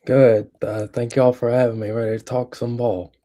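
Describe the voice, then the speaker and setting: A man's voice: medium pitch, flat delivery, normal speed, warm tone. A young man from Kentucky, a guest on a sports podcast, giving brief, easygoing answers. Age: young adult. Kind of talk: casual sports chat.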